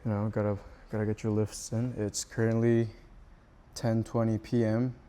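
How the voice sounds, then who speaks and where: A man in his twenties from South Korea, a native Korean speaker, talking casually and haltingly to camera in unscripted English as a beginner vlogger. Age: 20s. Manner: hesitant, halting, low-key. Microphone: small wireless clip-on mic. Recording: steady background noise on a small wireless mic.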